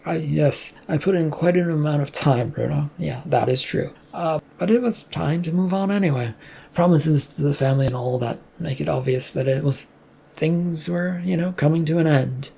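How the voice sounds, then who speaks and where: Narrator doing an unbothered office guy on his way out, husky and mellow, audio story. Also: medium pitch, normal speed. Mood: detached calm. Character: calm, detached male worker quitting his job.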